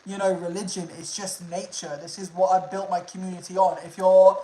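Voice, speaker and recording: A man's voice: sits high, flat delivery, a little fast, dark tone, a little hiss or hum. Laid-back man chatting in an echoey room. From Liverpool, England. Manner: laid-back, swears freely, calls viewers bro. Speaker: nature streamer. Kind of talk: casual livestream chat answering viewers.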